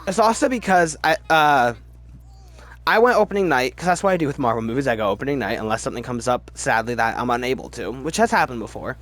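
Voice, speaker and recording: A man hosting a movie ranking video with casual, opinionated commentary in an animated, rambling, halting way that often repeats words. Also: mid-range voice. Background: background music.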